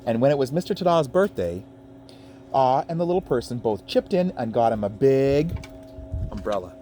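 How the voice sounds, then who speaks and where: Animated man reading a storybook to children. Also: mid-range voice, normal speed, a little hiss or hum. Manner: playful, expressive, checks in with listeners. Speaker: teacher reading a picture book aloud to children. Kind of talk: animated children's read-aloud with chatty asides.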